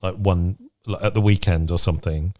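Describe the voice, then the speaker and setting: Male co-host, lowish voice, casual and encouraging conversational delivery. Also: fairly even intonation, normal speed, darkish tone. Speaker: podcast co-host. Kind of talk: casual chat.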